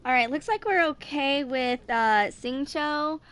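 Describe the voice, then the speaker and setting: A woman in her twenties giving casual, rambling game commentary, thinking aloud in a halting, distracted way. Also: sits high, fairly bright tone. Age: 20s. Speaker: gaming video creator.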